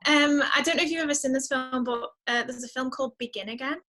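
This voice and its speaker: A woman's voice: sits high, steady tone, fast talker, sharp tone. A woman chatting casually as a guest, emphatic and thinking aloud as she goes. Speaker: podcast guest, singer-songwriter. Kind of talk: casual chat.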